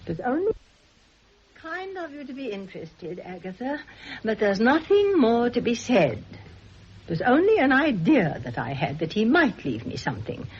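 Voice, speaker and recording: An actress playing a meek, self-effacing unmarried teacher who speaks gently and apologetically. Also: female voice, fairly high pitch, big pitch swings, moderate pace, slight background noise. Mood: resigned. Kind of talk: radio drama acting. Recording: dull old radio recording.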